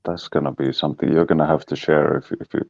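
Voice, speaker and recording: Gravelly low-voiced man. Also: fast talker, no echo, highs cut off. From Finland. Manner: animated, acts out quoted reactions. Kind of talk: casual online chat.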